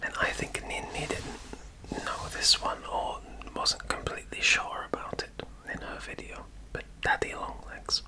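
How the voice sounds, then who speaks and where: A man from England with a mixed English and Welsh accent, doing a whispered ASMR accent tag, soft and hesitant with many pauses. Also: low-quality recording. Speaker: guest on girlfriend's ASMR channel.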